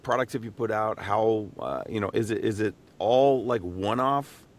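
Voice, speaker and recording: Low-voiced male podcast host. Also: moderate pace. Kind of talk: casual conversational interviewing.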